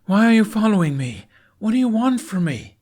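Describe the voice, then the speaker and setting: A man's voice: sits high, big pitch swings, moderate pace, darkish tone, voice pushed up. A man voicing a defiant, sarcastic boy in a fantasy story, with a challenging manner. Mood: shouted challenge. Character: defiant, sarcastic boy facing armed knights.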